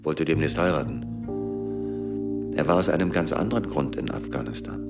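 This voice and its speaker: An actor playing a grim, driven middle-aged former intelligence operative in a radio drama, sombre, deliberate and terse. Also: male voice, extremely low pitch. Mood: firm, defensive. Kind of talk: radio drama acting and first-person narration. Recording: studio radio play with music underneath.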